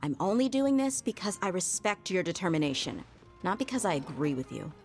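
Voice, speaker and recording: Frank female mercenary, slightly low pitch, casual, principled, fantasy game, light music behind. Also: big pitch swings, a little fast, darkish tone. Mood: earnest. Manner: blunt, casual. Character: tough, frank woman mercenary bodyguard, principled and loyal. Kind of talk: game voice acting.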